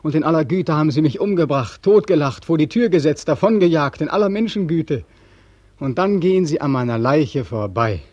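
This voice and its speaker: Casting: despairing young ex-soldier, mellow husky voice, anguished and sardonic, for vintage radio drama in a dry studio. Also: male voice, fairly high pitch, animated, brisk, no room sound, muffled recording. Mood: anguished, sarcastic. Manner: anguished, accusing, sardonic, rhetorical repetition. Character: bitter, despairing young war veteran, dying in the street. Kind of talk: 1940s German radio drama acting.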